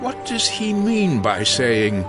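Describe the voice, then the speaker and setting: An actor playing a sharp, insistent man questioning a teacher in a dramatized audio Bible. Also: mid-range voice, sing-song, takes it slowly, music in the background. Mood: puzzled.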